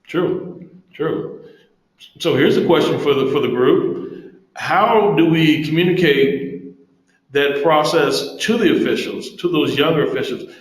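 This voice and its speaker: A man's voice: on the high side, unhurried. A man moderating a group interview over a conference call, courteous and affirming, with a roomy echo on his voice.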